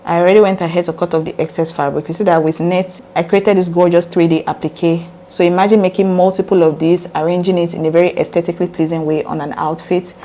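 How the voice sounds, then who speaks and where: A woman with a raspy voice hosting a casual step-by-step sewing tutorial, chatty and earnest as she talks straight to her viewers. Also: lowish voice, warm tone, slight background noise.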